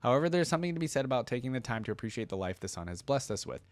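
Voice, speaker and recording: A man's voice: medium pitch, sing-song, racing, faint music behind. A man giving casual commentary and reading game lore aloud, explaining things and often checking in with the listener. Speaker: reaction video host.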